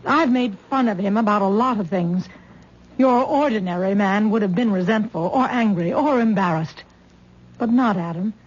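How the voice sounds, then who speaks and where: An actress voices a fretful mother in middle age, in an old radio play. Her voice is slightly husky, and she talks in a coaxing, worried way. The broadcast sounds dull and muffled. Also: medium pitch, moderate pace, close to an everyday voice. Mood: anxious. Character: worried mother of grown daughter Tessa. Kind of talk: 1970s radio drama acting.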